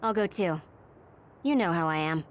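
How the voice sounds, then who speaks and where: A woman's voice: lowish voice, animated, normal speed, close to an everyday voice. A woman playing a cool, blunt young soldier in an English game dub, matter-of-fact. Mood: dry. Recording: faint music behind the voice.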